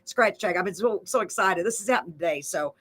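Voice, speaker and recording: A woman's voice: mid-range voice, fast talker, a bit bright, breathy edge. A woman hosting a casual live radio chat, talking enthusiastically and repeating phrases for emphasis. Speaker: live radio show host and amateur songwriter. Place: small local radio studio.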